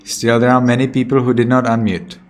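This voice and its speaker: A man teaching a children's class over a video call, slowly calling names and giving patient step-by-step instructions. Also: mid-range voice, level tone. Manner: slow, deliberate, patient instructions.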